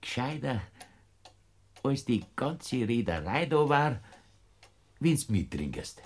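An actor in a classic radio play playing a stubborn, crafty old Bavarian man who talks gruffly and coaxes cheerfully. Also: medium pitch, big pitch swings, takes it slowly, heavily acted. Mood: coaxing. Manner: gruff, then jovially coaxing.

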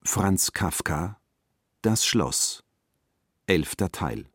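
A man with a husky voice formally reading out scripted credits as a radio drama announcer. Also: low-pitched, dry recording, studio quality.

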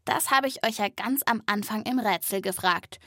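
A woman narrates a children's animal podcast in a lively, playful way, speaking straight to young listeners. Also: mid-range voice, normal speed, no echo, clean studio sound.

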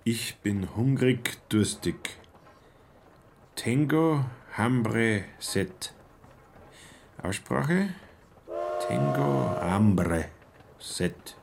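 Radio play: young travelling salesman, self-important, stilted, unhurried delivery with some fry, faint background ambience. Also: on the low side, expressive, darkish tone, a little hiss or hum, heavily acted. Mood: studious, reciting. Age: young adult. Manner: stilted, pedantically correct speech.

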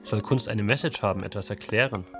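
A man's voice: lowish voice, brisk, over music. A man reporting for a radio culture magazine in scripted narration, reflective and posing rhetorical questions.

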